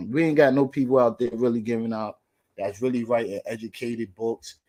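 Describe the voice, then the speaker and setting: A man, rambling and animated as a co-host in a casual comedy podcast chat. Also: medium pitch, normal speed.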